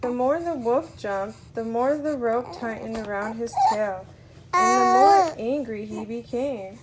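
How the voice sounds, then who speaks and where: Slow, high-voiced woman reading a story. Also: lively intonation, heavy background noise. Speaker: storyteller reading a children's book aloud. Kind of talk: read-aloud story narration for a small child.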